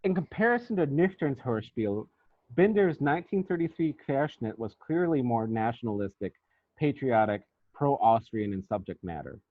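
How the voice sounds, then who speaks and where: A man reading out a scripted academic paper as a researcher, in a formal, measured and deliberate manner. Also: mid-range voice, animated, no echo. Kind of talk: scripted academic presentation, read aloud. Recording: thin, phone-like band-limited sound.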